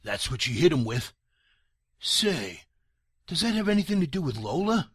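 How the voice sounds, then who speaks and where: Man playing a husky, crude, excitable client. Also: on the high side, normal speed, no room sound, studio quality. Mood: cheeky. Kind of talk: noir audio drama dialogue.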